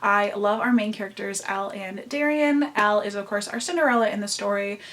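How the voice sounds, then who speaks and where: A young woman with a nasal Midwestern American accent talks through her book picks at a medium pitch. She gushes warmly and doubles up words for emphasis, like a chatty book vlogger. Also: fairly quick. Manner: gushing, emphatic repeats. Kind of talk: enthusiastic book recommendations.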